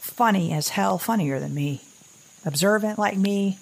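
A woman playing an anxious, wry middle-aged mother surviving after an apocalypse, in a halting, rambling audio drama monologue. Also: medium pitch, gravelly, slightly rough, poor audio. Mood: tender, wry.

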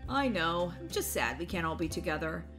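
A woman playing a gentle, doting young father who speaks in a soothing, sentimental way. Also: on the low side, very animated, brisk, faint music behind.

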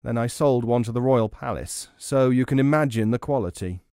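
Casting: man as folk-tale cloth trader, proper, businesslike, quick to get worked up. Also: medium pitch, mellow, clean tone, no echo, studio quality, natural voice. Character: polite but excitable male cloth merchant in folk tale.

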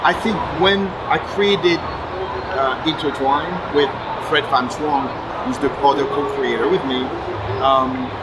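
A man's voice: medium pitch, measured pace. A man in his forties from France with a French accent, talking animatedly in a casual interview at a convention booth. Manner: animated, gestures while talking. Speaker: comic book writer and publisher. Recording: loud convention crowd noise behind.

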